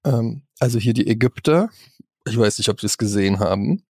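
Male podcast co-host, husky tone, casual storytelling, dry close sound. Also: lowish voice, fairly quick, darkish tone, no echo. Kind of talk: casual chat, telling anecdotes.

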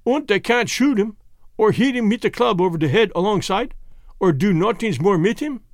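A defiant, excitable prisoner from an adventure audiobook, acted out by a male narrator. He speaks broken English in a thick Germanic accent, high and very animated, with a cunning, taunting edge. The recording is close and dry. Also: moderate pace, dry recording. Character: defiant foreign condemned man, cunning and excitable.

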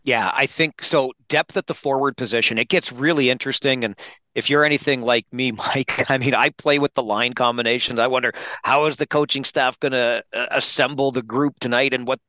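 A man who works as a hockey broadcaster, giving articulate, measured analysis as a podcast guest. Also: mid-range voice, steady tone, bright, forceful tone, no room sound, muffled recording. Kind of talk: sports podcast interview analysis.

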